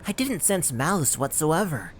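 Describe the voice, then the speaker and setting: A woman's voice: low-pitched, sing-song, moderate pace, slight background noise. A woman playing a tiny, androgynous talking cat spirit with a breezy, teasing manner in an audio drama dub.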